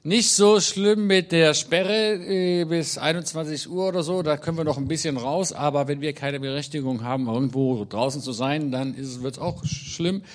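A man's voice: a little high, normal speed, hardly any pauses. Chatty middle-aged man addressing a congregation. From Israel. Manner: chatty and joking, with frequent hesitations. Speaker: guest speaker at a church service. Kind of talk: casual talk to a congregation. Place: meeting hall.

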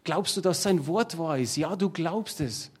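A man's voice: fairly high pitch, lively intonation, unhurried, husky. A middle-aged man preaching a sermon to his congregation, talking in a plain conversational way and drawing on everyday examples. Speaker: pastor.

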